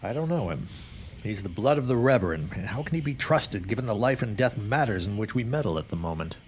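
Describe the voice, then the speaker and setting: A man's voice: lowish voice, lively intonation, brisk, slight background noise, lightly acted. An actor playing a wary, grave 1910s newsman in a radio drama, speaking in formal, old-fashioned phrases. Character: grim, wary 1910s newsman and worried father. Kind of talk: period radio drama acting.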